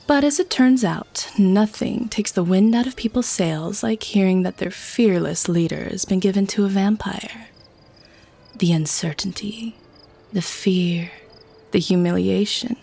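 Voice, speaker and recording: A woman acts as a cynical vampire lady who runs her mortals like a warden. She is teasing, nonchalant and a little haughty, speaking at a middling pitch in an intimate ASMR roleplay manner. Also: unhurried, vocal fry, warm tone. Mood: smug, matter-of-fact. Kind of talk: ASMR audio roleplay acting.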